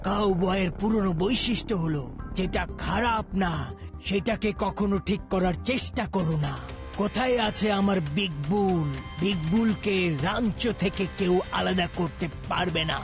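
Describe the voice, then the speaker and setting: High-pitched possessive cowboy robot. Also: male voice, moderate pace, music in the background. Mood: menacing, defiant. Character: possessive old cowboy robot come to life, menacing. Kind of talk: dubbed cartoon acting.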